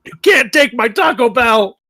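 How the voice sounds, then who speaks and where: A whiny, jokey Bigfoot, acted out by a man for a comedy table read. The delivery is theatrical and melodramatic, pushed up high with wide swings, and the recording is dry. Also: expressive, measured pace, sharp tone, dry recording, heavily acted. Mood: wailing, panicked. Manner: theatrical, wailing when upset.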